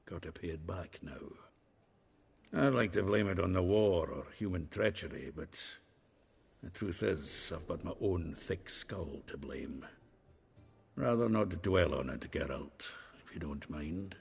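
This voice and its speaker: An actor playing a gruff, roguish dwarf in a video game, blunt and wry, with a gravelly, husky voice. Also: male voice, low-pitched, expressive, measured pace, big-room sound, a little hiss or hum, full character voice. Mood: rueful, gruff.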